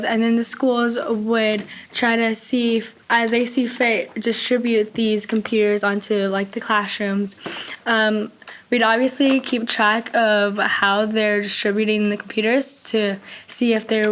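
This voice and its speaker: A woman's voice: fairly high pitch, a little hiss or hum. A teenage girl presenter answering a question, explaining with frequent fillers. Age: teens. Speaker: student presenter.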